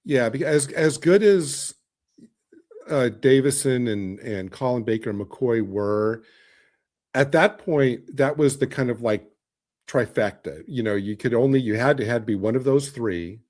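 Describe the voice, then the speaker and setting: Mid-pitched man chatting on a panel. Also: unhurried, a little mellow. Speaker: podcast panellist. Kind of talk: casual panel discussion.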